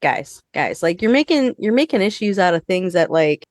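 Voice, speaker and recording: A woman's voice: fairly low pitch, big pitch swings, normal speed, dry recording, clean studio sound. A woman hosting a podcast, leading a casual conversational discussion. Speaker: podcast host.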